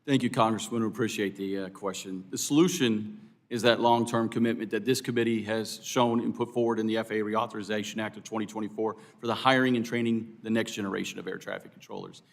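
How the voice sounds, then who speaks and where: A man's voice: fairly low pitch, steady tone, quick pace, gravelly. An American man testifying as a union official at a hearing, explaining earnestly and emphatically in formal but unscripted answers. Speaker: air traffic controllers' union official testifying as witness. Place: congressional committee hearing room.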